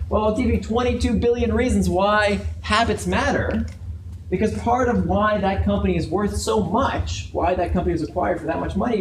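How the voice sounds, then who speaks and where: A man with a fairly high voice speaks to a seated crowd, talking easily and tossing questions out to the audience. He is recorded from across a roomy, echoey space with steady noise in the background. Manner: conversational, asks the audience questions. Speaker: guest speaker. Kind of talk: conference talk to a live audience. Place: large open office event space with audience.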